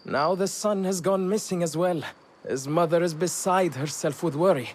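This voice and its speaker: A man as higher-voiced, confiding informant. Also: normal speed, slight background noise, natural voice. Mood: confiding, eager. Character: chatty, excitable male informant, fond of intrigue. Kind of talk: dramatic video game acting.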